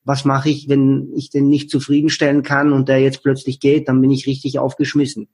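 A man's voice: medium pitch, completely flat delivery, fairly quick, darkish tone, breathy edge. A man in a casual conversation, giving earnest advice and acting out what others have said to him.

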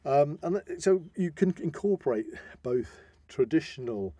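A man's voice: on the high side, lively intonation, moderate pace, warm tone, husky, dry recording. A man with an English accent hosting a casual podcast, talking in a discursive, explanatory way. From England. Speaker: podcast host.

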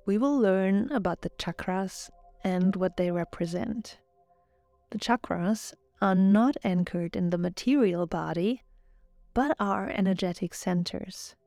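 A woman narrating a guided relaxation meditation in a calm, soothing, measured way, as a yoga nidra guide. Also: medium pitch, gravelly, dry recording. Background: background music.

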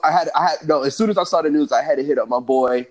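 Energetic male co-host, slightly raised pitch, fast slangy banter. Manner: excited, animated, lots of slang. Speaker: podcast co-host. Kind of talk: casual sports podcast chat.

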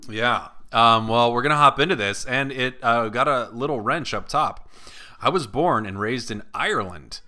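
A man's voice: medium pitch, normal speed, pushed and bright. A young man hosting a comedy podcast, joking playfully and reading a post aloud. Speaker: podcast host. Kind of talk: casual comedic podcast chat.

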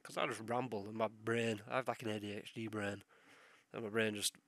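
A man's voice: fairly low pitch, flat delivery, a little fast, a bit bright, no room sound. A young man hosting a solo podcast while ill, with a sore throat and sniffles, rambling and swearing as he loses his train of thought. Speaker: podcast host.

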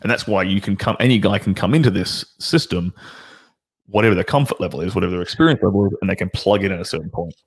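A man with a deep voice, a guest dating coach talking confidently in casual chat, often rambling and restarting. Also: fast talker, husky.

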